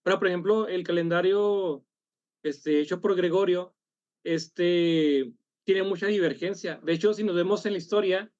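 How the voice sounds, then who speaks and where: A man leading an online study workshop, speaking haltingly, correcting himself and apologizing after coughs. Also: sits high, a little mellow, dry recording, muffled recording. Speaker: workshop leader.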